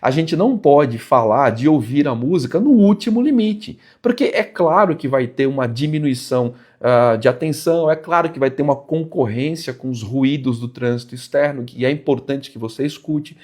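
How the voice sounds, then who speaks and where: A man's voice: medium pitch, expressive, moderate pace, hardly any pauses, darkish tone. Brazilian man explaining things emphatically. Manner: conversational, emphatic, didactic with rhetorical questions. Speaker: presenter of a motorcycle channel. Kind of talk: explanatory vlog talk to viewers.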